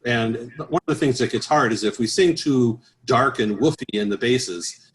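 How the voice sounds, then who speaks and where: Man explaining in halting conversational phrases. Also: mid-range voice, low-quality recording. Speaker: interview guest, professional bass singer and choir director.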